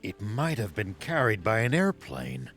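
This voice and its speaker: A man playing a seasoned older military commander in a video game, serious, decisive and deliberate. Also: on the low side, huge pitch swings, fairly bright tone, faint music behind, full character voice. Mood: grave, deliberate. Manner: authoritative, deliberate. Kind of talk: video game cutscene acting.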